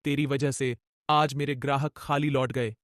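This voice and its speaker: A man voicing a harsh, greedy food-cart owner who bullies and shouts at his worker, in a cartoon story. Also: medium pitch, fairly even intonation, normal speed, no room sound, clean studio sound, natural voice. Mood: contemptuous. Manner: scornful, shouting. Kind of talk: cartoon voice acting.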